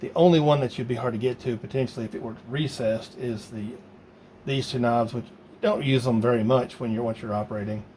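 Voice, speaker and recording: A man talking casually and haltingly, thinking aloud as he works through a hands-on project. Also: medium pitch, steady tone. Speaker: hobbyist maker demonstrating a DIY project. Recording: loud background noise.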